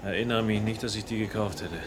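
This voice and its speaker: A man's voice: fairly low pitch, fast, slight background noise, natural voice. A man playing a world-weary, hard-drinking, self-mocking character who narrates and speaks in a noir-like radio drama. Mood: bewildered.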